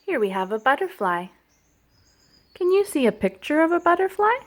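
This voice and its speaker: A woman childcare educator telling stories and playing with toddlers in a sing-song, animated, child-directed way, using simple phrases. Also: sits high, moderate pace. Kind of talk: sing-song picture book storytelling and play for toddlers.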